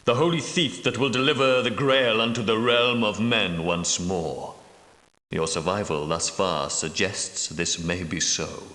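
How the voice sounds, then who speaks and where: A solemn leader of holy knights for a video game. He speaks low and slowly, in grave, archaic, portentous phrases like a preacher. The voice rings with long reverb, as in a great echoing hall. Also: fairly even intonation, bright, forceful tone. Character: solemn, pious leader of ancient Grail knights. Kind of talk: scripted video game dialogue, archaic formal speech.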